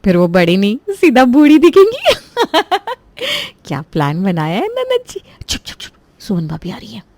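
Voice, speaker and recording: A woman's voice: a little high, huge pitch swings, unhurried, darkish tone, heavily acted. A woman voicing a meddling, dramatic young married sister-in-law in a Hindi cartoon story. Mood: gleeful.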